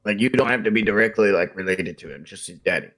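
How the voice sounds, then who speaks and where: A man's voice: fairly low pitch, quick pace, a bit bright. Rambling man at a game table. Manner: rambling, full of "like" fillers. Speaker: player. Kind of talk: casual chat in a tabletop game.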